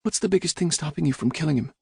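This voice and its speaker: A man's voice: a little high, rapid-fire, vocal fry, a little mellow, husky, pitched above the performer's own voice, heavily acted. A man voicing a guarded young woman who talks in a hesitant, earnest way, a storybook figure. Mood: wary. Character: guarded young woman, wary yet hopeful.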